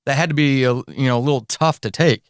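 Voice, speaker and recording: A man's voice: mid-range voice, fast talker, clean tone, no echo, studio quality. A man hosting a podcast interview, speaking conversationally in an earnest, encouraging way and repeating words for emphasis. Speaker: podcast host.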